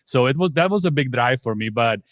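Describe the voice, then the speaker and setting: A man in his forties with a Czech accent, telling his story in a relaxed, casual way as a podcast guest. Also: medium pitch, fairly quick, no room sound, studio quality. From Czech Republic. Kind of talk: conversational storytelling.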